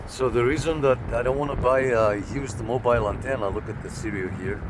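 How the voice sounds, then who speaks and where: A man's voice: medium pitch, fairly quick. A man giving a casual hands-on product review as a video host, talking informally and directly to viewers on a handheld camera. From Canada. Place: outdoors in a parking lot and inside a parked car. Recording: noisy outdoor recording with car sounds.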